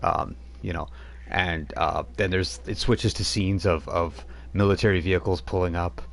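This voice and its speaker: A man's voice: low voice, steady tone, normal speed, creaky. A man running a tabletop role-playing game over voice chat, narrating loosely with many fillers and restarts. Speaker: game master.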